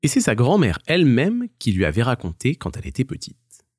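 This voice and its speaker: A man narrating a scripted children's story as an expressive storyteller. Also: medium pitch, fairly quick, husky, no room sound, studio quality.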